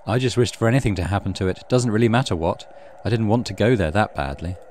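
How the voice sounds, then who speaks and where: A man reading a horror visual novel aloud as dramatic, measured narration. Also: lowish voice, a little mellow, a little hiss or hum. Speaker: gaming channel host reading visual novel. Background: music.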